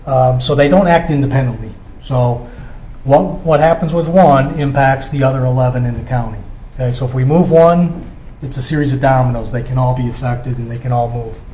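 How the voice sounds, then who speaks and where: A man's voice: medium pitch, brisk, warm tone. An American man giving an explanatory technical presentation as a company representative, checking in with his listeners as he goes. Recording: echoey room with background noise.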